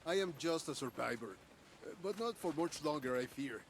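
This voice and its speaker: An actor playing a proud, guarded local man, speaking gravely. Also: a little high, lively intonation, normal speed. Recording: game audio with loud background noise.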